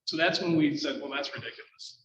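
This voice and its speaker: A man's voice: a little high, fairly even intonation, fairly quick, noisy background. A man leading a real estate team, making emphatic points on a panel. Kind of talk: panel discussion. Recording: echoey room, muffled sound.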